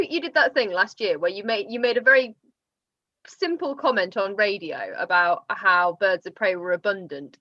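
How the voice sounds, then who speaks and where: A lively woman hosts an online question session and ribs her guest as she goes. Her voice sounds dull and lo-fi over the video call, in a dry, close room with no echo. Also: medium pitch. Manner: teasing, lively, reads out audience questions. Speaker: interviewer and host. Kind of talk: hosting an online Q&A.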